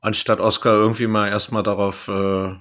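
A man's voice: lowish voice, level tone, moderate pace, fairly bright tone. A man casually retelling and commenting on a story in colloquial words as a podcast co-host.

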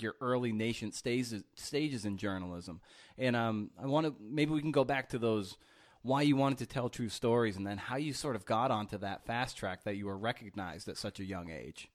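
Man interviewing in a halting, rambling way. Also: mid-range voice. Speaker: podcast host, interviewer. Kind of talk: conversational interview.

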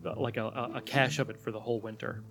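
Calm, explaining podcast co-host. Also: male voice, medium pitch, quick pace, over music. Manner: calm, explanatory, laughs along. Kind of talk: casual chat with explanations.

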